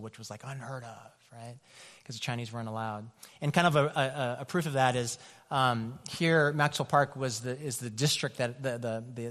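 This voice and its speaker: American pastor preaching in a reverberant hall. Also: male voice, medium pitch, fairly bright tone. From California, USA. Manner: conversational, loose, many fillers. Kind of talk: sermon with personal stories.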